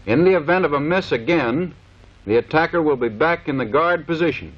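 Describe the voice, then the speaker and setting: Old 1930s training film narrator, american guy, kinda high voice, clipped and formal, dull muffled soundtrack. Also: lively intonation, brisk.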